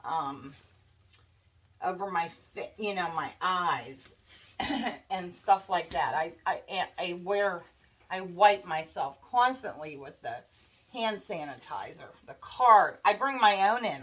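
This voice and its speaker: Stuffy-nosed woman, chatty craft vlogger, slow and fretful, mic set back, faint hiss. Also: fairly low pitch, animated, fairly bright tone. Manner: chatty, worried, addresses viewers as guys. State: congested, stuffy nose. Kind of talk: casual chat while crafting. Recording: distant mic with faint background noise.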